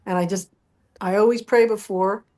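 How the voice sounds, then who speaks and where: A middle-aged woman telling animated personal stories as an interview guest, often acting out what people said. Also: medium pitch, moderate pace. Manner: acts out quoted dialogue. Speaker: interview guest, musical performer.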